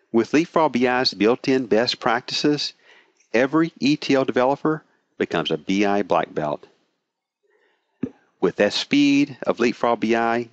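A man reading a scripted promotional voice-over in a steady, even sales delivery. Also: low-pitched, no echo. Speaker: product narrator. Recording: dry, slightly dull voice-over.

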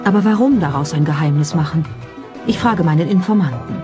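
Woman reading documentary script, lowish voice w music behind her. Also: animated, brisk. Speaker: documentary narrator. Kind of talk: scripted narration.

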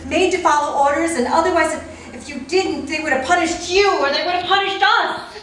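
A middle-aged woman playing the distraught wife of an accused war criminal in a staged reading, agitated, pleading and halting. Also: very high-pitched, a bit bright. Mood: pleading, incredulous. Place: indoor room, table before a plain wall. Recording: echoey room, distant microphone, faint noise.

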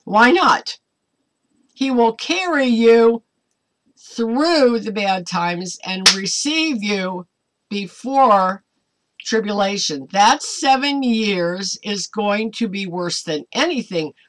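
An American woman in her seventies preaching informally to camera at home, earnest and exhortatory in a deliberate way. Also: medium pitch, lively intonation. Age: 70s. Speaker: online ministry preacher. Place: small cluttered home room, indoors.

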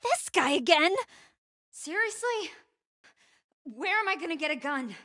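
A woman's voice: very high-pitched, expressive, a little slow, sharp tone, no echo, studio quality, heavily acted. An actress voicing a tough, frightened young woman in a survival horror game, with an urgent, exclamatory manner. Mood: tense resolve. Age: young adult. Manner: urgent, exclamatory, swearing under stress. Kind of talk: video game voice acting.

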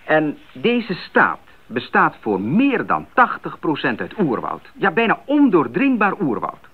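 A man's voice: on the high side, huge pitch swings, a little slow, slightly rough, narrow, tinny audio, full character voice. An actor playing an earnest, idealistic young missionary explaining himself to his parents in a radio drama. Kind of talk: 1980s Dutch radio drama acting. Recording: thin band-limited old radio recording.

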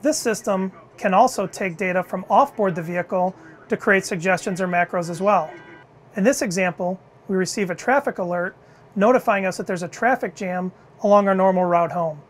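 A middle-aged man leading product innovation, presenting a car cockpit demo in a measured, clear, scripted way in an indoor demo room. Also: high-pitched. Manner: measured, clear explanatory delivery.

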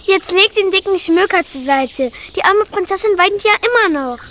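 A woman's voice: extremely high pitch, animated, fairly bright tone, a little hiss or hum. A woman voicing a cheeky young detective helper in a children's radio play. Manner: cheeky, brisk.

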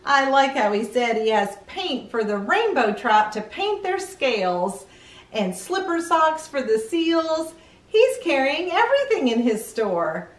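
A middle-aged woman presenting to young children in an animated way, often asking simple questions. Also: high-pitched, a little slow, echoey room, far from the mic. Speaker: children's read-aloud show host.

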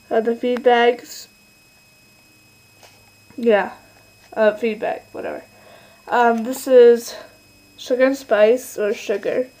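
A young woman giving a casual home video tour, halting and full of fillers, filmed on a handheld camera in her bedroom. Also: on the high side, warm tone, slight background noise, poor audio. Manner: chatty, filler-heavy, halting. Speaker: channel owner giving a toy stable tour. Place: bedroom with toy horse stables.

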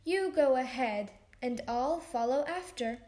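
Woman voicing a big gullible monster, slow-talking. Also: high voice, expressive, a little mellow, roomy sound. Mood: amused. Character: big male monster, gullible, easily amazed.

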